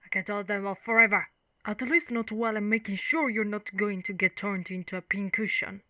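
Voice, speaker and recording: A woman playing female undead knight, caring, wry, conversational, mid-pitched, ASMR monster roleplay, dry close sound. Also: steady tone, brisk, pushed and bright, no room sound, muffled recording, natural voice. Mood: defiant, urgent. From Italy. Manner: reassuring, teasing, conversational. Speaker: voice actress.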